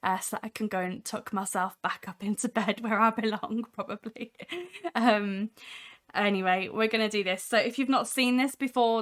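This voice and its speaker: A young woman hosting a casual software demo livestream, talking from home with a voice made husky by a cold, chatty with many ums. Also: mid-range voice. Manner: chatty, many ums, reads chat aloud. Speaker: livestream host.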